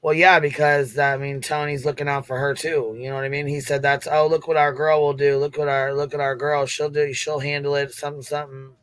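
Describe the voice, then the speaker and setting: A man's voice: fairly high pitch, level tone, a little fast, hardly any pauses, a bit bright, clear, resonant tone, dry recording. A man hosting a casual livestream chat, speaking in a blunt, sweary way. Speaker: host of an open-panel livestream.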